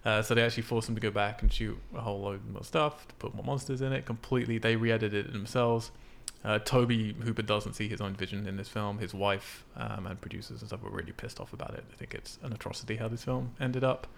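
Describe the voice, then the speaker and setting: A man's voice: fairly low pitch, steady tone, quick pace, gravelly, few pauses, a little hiss or hum. A man hosting a casual film podcast, explaining things in an informal, sweary way. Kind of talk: casual film discussion from notes.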